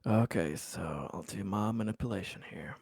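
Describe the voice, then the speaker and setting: A man playing a tabletop roleplaying game, explaining his character's thinking in a casual, deliberate, wordy way. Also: sits low, creaky, darkish tone, husky, no room sound, studio quality. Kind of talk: casual in-game discussion, describing his character in third person.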